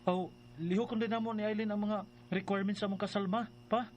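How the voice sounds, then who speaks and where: An actor plays an earnest family man in a radio drama. His voice is very high for a man and he explains with emphasis. Faint noise sits behind him. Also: fairly even intonation, fast talker, close to an everyday voice. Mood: reassuring.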